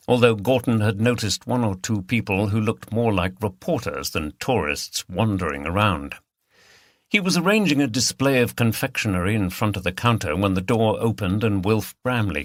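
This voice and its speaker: A man's voice: lowish voice, a bit bright, dry recording, studio quality. A man reading a mystery novel as scripted audiobook narration.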